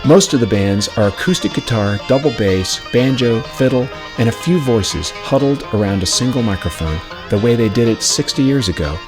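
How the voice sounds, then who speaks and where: A man reading a scripted personal memoir in a measured, reflective storytelling way. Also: medium pitch, lively intonation, poor audio. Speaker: narrator of own memoir, former radio station worker. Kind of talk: scripted narration. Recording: music playing behind the voice. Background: plucked acoustic string music.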